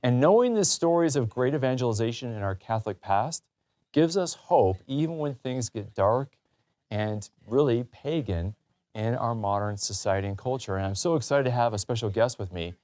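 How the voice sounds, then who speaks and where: A man's voice: medium pitch, lively intonation, normal speed, a little mellow. A man hosting a talk show in a conversational, enthusiastic and emphatic way.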